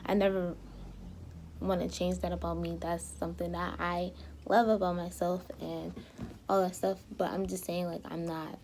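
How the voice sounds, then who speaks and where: Slightly hoarse young woman rambling casually. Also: fairly low pitch, level tone, normal speed, a little mellow, slight background noise. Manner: rambling, with many fillers and restarts. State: slightly hoarse, losing her voice. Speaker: vlogger. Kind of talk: casual talk to camera.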